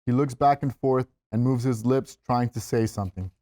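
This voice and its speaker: A man's voice: mid-range voice, moderate pace, warm tone, no room sound, studio quality. A man reading scripted stage directions as the narrator at a table read.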